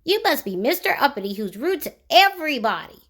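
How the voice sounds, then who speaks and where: Woman playing a small male storybook goblin, high-pitched, cheeky, teasing, very animated. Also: fairly quick. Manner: teasing, exclamatory. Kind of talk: children's storybook character voice.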